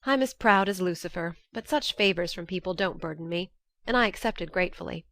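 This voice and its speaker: Low-pitched woman narrating a classic novel. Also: fairly quick, a bit bright, no echo. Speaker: audiobook narrator. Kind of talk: scripted narration of a classic novel as lively first-person letters.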